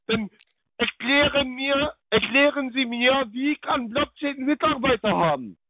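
A man arguing on a phone call, halting and repeating his demands over and over. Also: extremely high pitch, lively intonation, slight background noise. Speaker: phone scammer being baited. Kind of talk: heated phone argument. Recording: tinny phone line.

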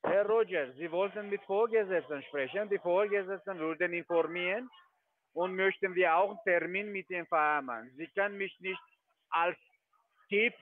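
A man's voice: sits high, normal speed, a crowd in the background. A half-Italian man working as a trading firm agent, pushing insistently in broken German over the phone. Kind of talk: phone call. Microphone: telephone. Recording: tinny phone line.

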